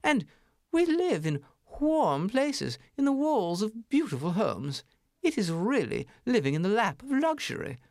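A man voicing a snobbish, bragging male city mouse in a storybook tale, speaking with fussy, drawn-out disdain. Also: sits high, very animated, darkish tone, no room sound, studio quality, pitched above the performer's own voice, heavily acted. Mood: boastful.

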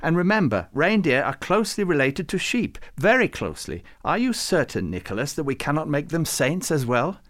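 A fussy, scholarly old saint with a high, reedy voice that grumbles and lectures, as a male storyteller puts him on. His tone pitches up well above an everyday man's voice and wanders lively as he argues. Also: normal speed, hardly any pauses. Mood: lecturing.

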